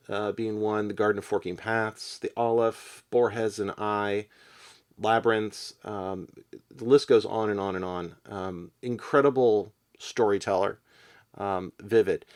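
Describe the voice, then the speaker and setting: A man presenting a literary podcast in an unscripted, thoughtful way, halting as he searches for his words. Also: lowish voice, a little mellow. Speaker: podcast presenter and poetry reader. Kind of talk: casual literary commentary, unscripted.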